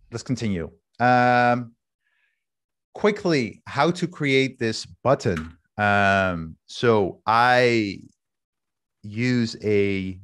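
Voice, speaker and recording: A man's voice: lowish voice, a bit bright. A man in his thirties whose first language is Dutch, casually walking through a screen-share demo over a headset on a video call, thinking aloud with restarts. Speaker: workshop host. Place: home room, indoors. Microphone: headset with video call camera.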